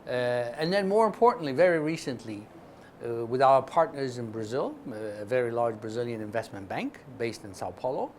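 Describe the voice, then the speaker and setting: A man's voice: mid-range voice, a little hiss or hum. A man from Ethiopia explaining business as an interview guest, speaking emphatically and punctuating his points with short check-ins. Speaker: interview guest, investment fund chairman.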